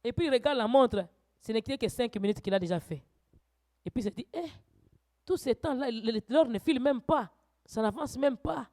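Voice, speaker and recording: A woman preacher teaching a church sermon, swinging from quiet asides to loud, urgent exhortations. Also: on the high side, lively intonation, normal speed.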